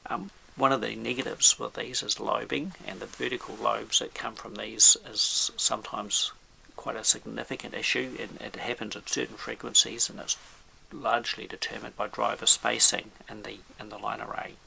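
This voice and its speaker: A man giving an informal technical explainer as an expert presenter, in a halting, measured way. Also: lowish voice, flat delivery, creaky, bright, forceful tone, a little hiss or hum, poor audio.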